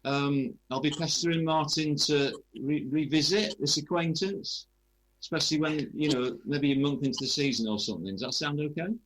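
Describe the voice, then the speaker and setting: A man's voice: a little high, moderate pace, a bit bright, low-quality recording. A man interviewing in a chatty sports radio style, hesitantly rephrasing his questions as he goes.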